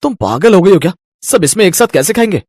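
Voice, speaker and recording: A man's voice: high voice, sing-song, fast talker, a little mellow, breathy edge, dry recording, studio quality, exaggerated voice. A man voicing an exasperated, stern adult son and husband who scolds in a family story. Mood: angry. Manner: fed up, scolding.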